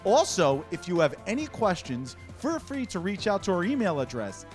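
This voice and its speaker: A man's voice: high voice, sing-song, normal speed, faint music behind. A man hosting a local talk show, chatting playfully and jokily with a guest outdoors on a summer day. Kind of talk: lively casual chat on a food segment.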